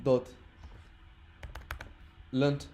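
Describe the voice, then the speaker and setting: A man's voice: very slow, warm tone. A man teaching programming informally as a non-native English speaker, explaining step by step, repeating words and checking that people follow. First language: not English, which is a third language. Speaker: programming instructor.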